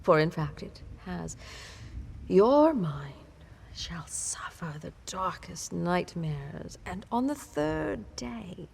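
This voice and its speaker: Woman acting a slow, creaky-voiced vampire mistress. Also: fairly low pitch, big pitch swings, darkish tone, full character voice. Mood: darkly hungry. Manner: slow, theatrical, breathy half-whispered delivery with drawn-out words. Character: ancient female vampire mistress, predatory, imperious, seductively menacing. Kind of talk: intimate scripted audio roleplay monologue.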